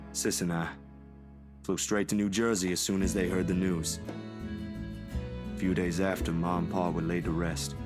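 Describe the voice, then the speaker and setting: A man's voice: deep voice, lightly acted. An actor playing a troubled young man in a visual novel game, hesitant, earnest and confiding as he unburdens a long-kept secret.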